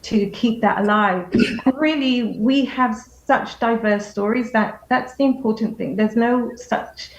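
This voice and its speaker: Gravelly woman on a dull echoey line. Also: a little high. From Dominica. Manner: measured, encouraging, with fillers. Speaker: writer, festival committee member. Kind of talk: radio talk show discussion.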